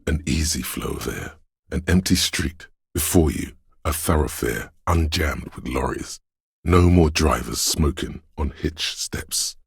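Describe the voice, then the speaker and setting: Game narrator, an omniscient, brooding inner voice; bottomless bass register, close dry sound, gravelly, slow and solemn. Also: male voice, no echo, exaggerated voice. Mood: brooding, still. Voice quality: very deep, gravelly husky. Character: brooding, all-seeing inner voice sensing a wintry city.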